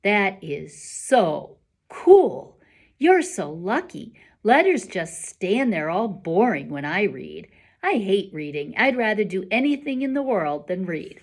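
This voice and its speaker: A woman voicing an excitable, funny young schoolboy with an emphatic, wide-eyed manner in a storybook reading. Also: medium pitch, slow, exaggerated voice. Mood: amazed.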